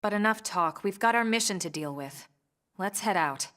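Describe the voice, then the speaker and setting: A woman playing a serious, cautious veteran squad leader in a video game, with an American accent and a measured, authoritative delivery. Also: mid-range voice, lively intonation, dry recording, studio quality, lightly acted. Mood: calm, cautioning. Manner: measured, matter-of-fact, authoritative. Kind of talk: video game cutscene acting. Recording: loud game music behind the voice.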